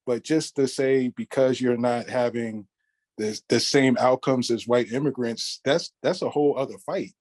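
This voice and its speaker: A man's voice: mid-range voice, normal speed, darkish tone. A man in a podcast discussion speaks in a plain mid-range voice, starting hesitantly, then turning blunt and dismissive. His delivery is direct and unpolished. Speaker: podcast co-host. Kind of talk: casual discussion.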